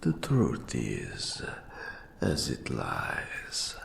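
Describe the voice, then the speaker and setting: A man voicing an ancient, scholarly specter who mentors an apprentice in a fantasy audio roleplay, speaking in a whispery, gravelly rasp with slow, formal, archaic phrasing. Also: rumbling low, wildly swooping pitch, echoey room, slight background noise, exaggerated voice. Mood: grave, measured. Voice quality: whispery, gravelly, breathy rasping gasps. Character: eldritch accursed specter, ancient scholarly male mentor of curse magic.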